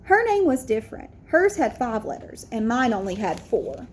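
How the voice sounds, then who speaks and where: A woman reading a children's picture book aloud expressively, pausing to explain to young listeners. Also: fairly high pitch, big pitch swings, slight background noise. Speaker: read-aloud storyteller.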